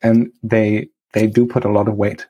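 Soft-spoken man with a low, mellow voice. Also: little pitch movement, husky, rough recording. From India. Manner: thoughtful, measured, soft laughs. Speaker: doctor, podcast guest. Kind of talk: casual chat.

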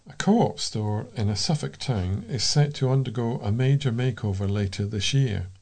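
A man's voice: medium pitch, expressive, moderate pace, darkish tone, slightly rough. A man reading scripted local news as a volunteer news reader.

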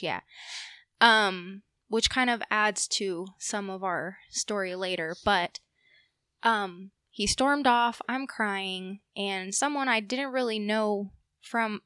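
A young woman with an American accent tells a personal story in casual conversation. Her voice is bright and sits close and dry, and she takes her time, slightly nervous and halting as she goes. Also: mid-range voice, smooth, dry recording. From Puerto Rico.

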